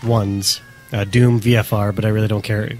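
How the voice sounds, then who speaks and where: A man with a fairly low voice talks in a dry, matter-of-fact way, pausing often on fillers. He chats casually on a podcast, with faint music in the background. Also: flat delivery. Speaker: podcast co-host.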